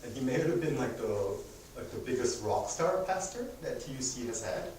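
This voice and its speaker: A man's voice: mid-range voice, animated, moderate pace, darkish tone. Man leading a class, echoey room. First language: not English. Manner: casual, hesitant, many fillers and self-corrections. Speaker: adult education class leader. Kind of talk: church history class talk. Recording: echoey room from far off, noisy.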